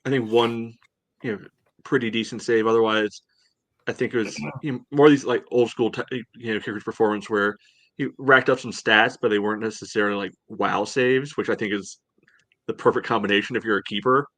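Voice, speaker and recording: Casual male soccer podcast co-host, lowish pitch, conversational and hedging, tight dry sound. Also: steady tone, fairly quick, a bit bright, no echo. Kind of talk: casual sports discussion.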